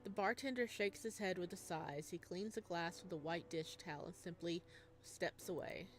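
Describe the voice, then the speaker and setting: A woman gaming streamer reading a visual novel aloud, with expressive narration and playful, casual asides. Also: fairly low pitch, moderate pace, soft background music.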